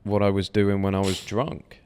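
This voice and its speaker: A man's voice: on the low side, completely flat delivery, moderate pace, darkish tone, clear, resonant tone, long reverb tail. A man in a casual podcast conversation, asking short questions and adding brief interjections.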